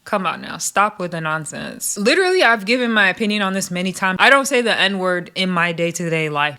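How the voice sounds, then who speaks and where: A woman's voice: medium pitch, sharp tone. A woman giving casual, candid commentary on a reality show, swearing and laughing often. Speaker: reality TV recap host.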